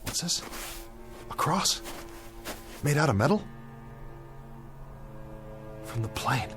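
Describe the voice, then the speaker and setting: An actor with an American accent playing a dazed, guilt-ridden young bomber captain in a radio drama, talking to himself in broken, searching phrases. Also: male voice, mid-range voice, lively intonation, slow, a bit bright, soft background music. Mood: bewildered.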